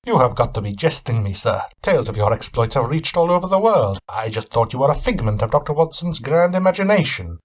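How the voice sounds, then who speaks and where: A man's voice: mid-range voice, sing-song, brisk, few pauses, voice pushed up. A man voicing a weary, haunted male vampire hunter who talks in an earnest, formal, intense way. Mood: curious.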